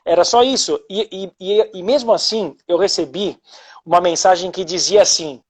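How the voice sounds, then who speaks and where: A man's voice: sits high, moderate pace. A man who hosts the show, a pilot speaking on a live video call, thoughtful and reflective with frequent hesitations. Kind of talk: casual live video chat.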